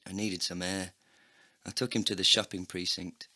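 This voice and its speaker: An actor playing a bitter, heartbroken, foul-mouthed man, narrating a scripted comedy audio play in a sardonic, deadpan way. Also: low voice, normal speed, dry recording, clean studio sound, full character voice. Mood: bitter, wry.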